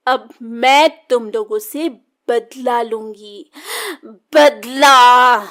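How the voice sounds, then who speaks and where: A woman voicing a vengeful, mocking young witch in a children's cartoon, threatening and taunting with drawn-out words. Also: on the high side, fairly bright tone. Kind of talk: children's cartoon horror-comedy voice acting.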